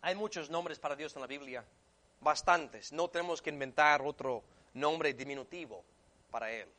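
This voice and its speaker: A male preacher with a high, bright voice delivers a sermon as a native English speaker. He is emphatic, repeating phrases for weight. His delivery moves between hushed asides and forceful declarations. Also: moderate pace. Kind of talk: sermon with scripture reading.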